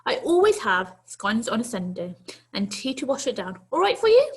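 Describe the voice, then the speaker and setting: A woman reading a children's storybook, voicing a small old lady who is brisk, hospitable and gently scolding, with a chatty, questioning manner. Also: fairly high pitch, very animated, slightly rough. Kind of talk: children's storybook read aloud.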